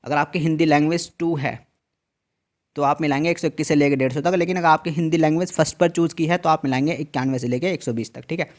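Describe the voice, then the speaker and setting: A man's voice: fairly high pitch, fairly even intonation, quick pace. A man explaining an exam answer key in a tutorial, repeating each instruction and checking in often. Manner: repetitive, emphatic instructions with frequent "theek hai" check-ins. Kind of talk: screen-share tutorial walkthrough.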